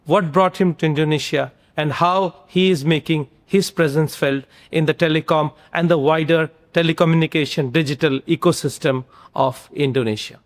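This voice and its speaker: Formal male presenter, higher-pitched. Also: steady tone, normal speed, few pauses. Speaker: presenter introducing the episode. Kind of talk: formal scripted introduction. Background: music.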